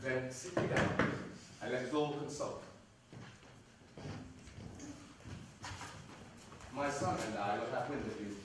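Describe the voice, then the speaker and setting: An actor playing a scheming, defiant villain father in a stage reading of verse drama, booming and scornful with mocking laughs. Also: close to an everyday voice. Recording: echoey room, mic far off, noisy.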